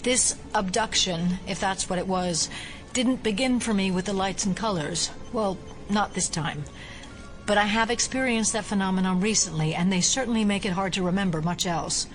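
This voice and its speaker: A woman playing a skeptical FBI agent and doctor in an audio drama, speaking in a measured, clinical way. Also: mid-range voice, faint music behind, lightly acted, rough recording. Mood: measured, inquiring.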